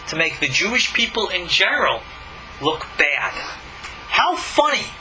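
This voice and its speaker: A man's voice: high voice, noisy background. A man speaking as a rabbi and teacher, giving emphatic, argumentative scripture commentary full of rhetorical questions.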